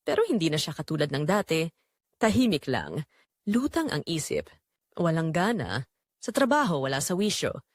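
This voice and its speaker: A woman's voice: mid-range voice, dry recording, clean studio sound. A woman with a Filipino accent narrating a scripted drama story in a dramatic style.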